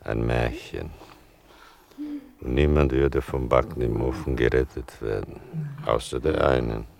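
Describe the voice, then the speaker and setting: An actor playing a grave, exacting man who recalls horrors in deliberate, somber radio drama narration. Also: extremely low pitch, fairly even intonation, vocal fry, darkish tone, exaggerated voice.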